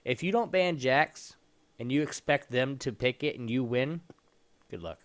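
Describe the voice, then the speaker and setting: A man's voice: medium pitch, animated, unhurried, no echo. A man co-hosting a gaming show, talking casually in an opinionated, slangy way.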